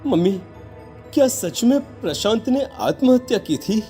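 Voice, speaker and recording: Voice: mid-range voice, animated, measured pace, warm tone, faint music behind. A performer voicing a timid teenage schoolboy in a horror story, fearful and hesitant as he speaks. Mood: uneasy.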